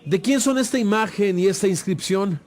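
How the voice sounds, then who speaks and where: A man's voice: high-pitched, normal speed, darkish tone, close to an everyday voice. A man acting an authoritative, composed holy teacher in a dramatized audio Bible, speaking solemnly and emphatically. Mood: challenging. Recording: music playing behind.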